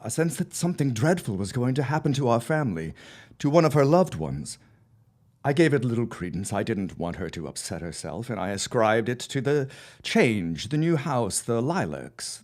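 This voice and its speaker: A man's voice: lowish voice, darkish tone, lightly acted. An actor playing a grieving, guilt-ridden father of the early twentieth century, torn and doubtful, in an audio drama.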